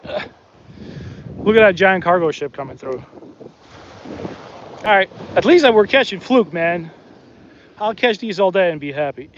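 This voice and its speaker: Man, high voice, chatty, talks to the fish, outdoors on a beach, phone mic, slightly muffled, faint wind. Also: animated, a little slow, long pauses. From Soviet Union. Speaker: fishing livestream host. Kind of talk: casual live commentary while fishing.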